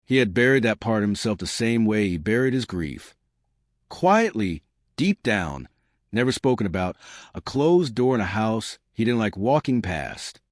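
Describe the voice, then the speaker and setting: A man's voice: on the low side, sing-song, moderate pace, no echo, studio quality. A man narrating a scripted dramatic story as a suspenseful storyteller who speaks straight to the listener. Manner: suspenseful, addressing listeners directly.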